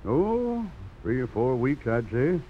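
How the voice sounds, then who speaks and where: An actor playing a wry, folksy older country doctor for horses in a 1950s radio drama. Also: male voice, medium pitch, big pitch swings, slow pace. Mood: chatty, folksy. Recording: dull old radio broadcast, faint hiss.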